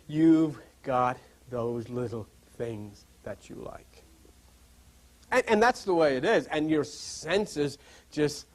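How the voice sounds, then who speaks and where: Man preaching informally, animated high-pitched voice. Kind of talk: informal sermon to a seated group.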